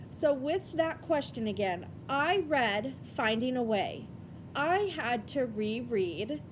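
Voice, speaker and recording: A woman teacher giving a read-aloud lesson for children, explaining things step by step in an instructional way. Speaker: elementary school reading teacher. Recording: rumbly background noise.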